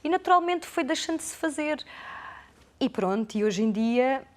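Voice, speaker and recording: A woman's voice: high voice, animated, moderate pace. A woman, guest on a television interview. She answers at length in a careful, hesitant manner, often restarting and repeating words as she builds her thoughts.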